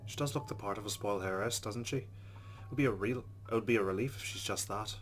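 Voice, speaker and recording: A man's voice: lowish voice, quick pace. A man playing a visual novel on video, reading its narration aloud and adding wry, chatty asides. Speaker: let's play host. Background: game music.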